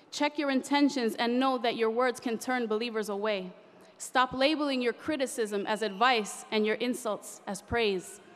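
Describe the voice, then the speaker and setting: Impassioned woman reciting a poem onstage. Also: fairly high pitch. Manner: rhythmic, impassioned, rhyming delivery. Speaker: spoken word poet. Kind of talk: performed spoken word poetry. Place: large convention hall stage. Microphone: podium microphone. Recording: reverberant convention hall.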